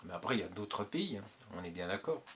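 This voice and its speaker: Low-voiced man giving rambling advice. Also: animated, quick pace, fairly bright tone, slight background noise. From French-speaking. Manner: rambling, repeats himself, many filler "euh"s. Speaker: channel host giving advice. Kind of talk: casual advice monologue.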